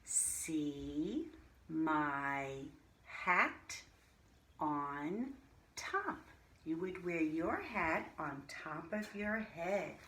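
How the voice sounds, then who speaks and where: A woman teaching a reading lesson to young children, pronouncing single words deliberately and speaking to the children directly. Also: deep voice, sing-song, bright, forceful tone. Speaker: primary school reading teacher. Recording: roomy echo, faint background noise.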